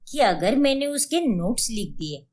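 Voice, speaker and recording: Woman as a cowed college girl, slow delivery. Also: fairly high pitch, very animated, a little mellow. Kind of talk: acted animated moral story.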